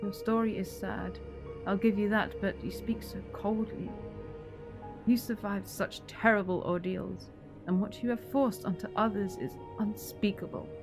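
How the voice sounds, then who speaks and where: Woman acting an earnest, creaky-voiced young widow. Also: medium pitch, fairly even intonation, a little slow, music in the background. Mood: bitter, grieving. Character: grieving, wronged widow, sisterly, earnest. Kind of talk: audio drama acting.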